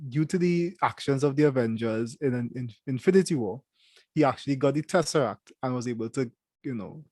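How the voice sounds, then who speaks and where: A young man with a Trinidadian accent, co-hosting a casual show review and talking in halting bursts with many restarts. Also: medium pitch, darkish tone, dry recording. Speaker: co-host.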